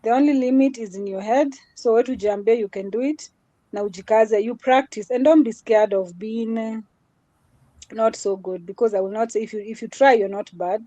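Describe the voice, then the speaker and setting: A woman's voice: fairly high pitch, moderate pace, mellow, no echo, rough recording. A woman with a small voice, giving an earnest motivational talk as a guest speaker in a live session. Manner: earnest, repetitive, mixes Swahili into English.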